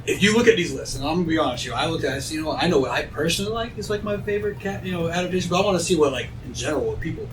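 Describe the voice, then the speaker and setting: A man's voice: fairly high pitch, animated, very fast, hardly any pauses, far from the mic, a little hiss or hum, poor audio. A man chatting casually in a group about movies, rambling and correcting himself as he goes. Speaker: panelist at a fan round table.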